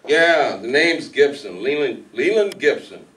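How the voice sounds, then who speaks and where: A man's voice: mid-range voice, animated, measured pace, a bit bright. A man playing a matter-of-fact homicide lieutenant in an old-time radio mystery. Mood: dry, official. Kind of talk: 1940s radio acting. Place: meeting room stage before an audience. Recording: distant, noisy live room recording.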